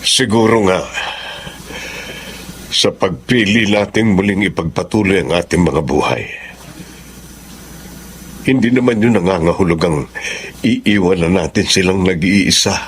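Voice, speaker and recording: An actor playing an older Filipino widowed father in a radio drama, grieving and slowly coming to acceptance. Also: low-pitched, a little hiss or hum. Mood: sorrowful acceptance. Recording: music bed under the voice.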